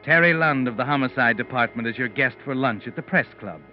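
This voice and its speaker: An actor playing a knowing, sardonic narrator of an old-time radio mystery, measured and insinuating as he addresses the culprit. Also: medium pitch, lightly acted. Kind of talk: 1940s-style radio mystery narration in second person. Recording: muffled old radio recording, music underneath.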